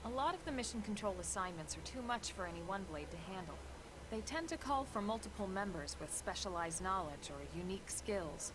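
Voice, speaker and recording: A woman's voice: medium pitch, very animated, a little fast, background noise, natural voice. An actress playing a composed, sensible female officer in a video game, patiently guiding a newcomer. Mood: calm instructive. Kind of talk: video game cutscene voice acting.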